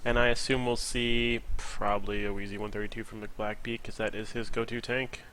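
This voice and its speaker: A man's voice: lowish voice, level tone, a little fast, a bit bright, a little hiss or hum. A man with a nasal, stuffed-up voice from a cold, giving casual live play-by-play commentary on an esports match. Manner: casual, thinks aloud, predicts picks. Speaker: esports caster.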